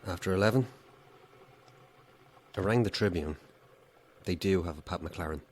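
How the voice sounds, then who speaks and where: A tense Irish husband in a horror audio drama. His voice is deep, and his phrases are short and commanding. He sounds insistent and gripped by fear. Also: normal speed. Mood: grimly determined. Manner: terse, commanding, clipped phrases.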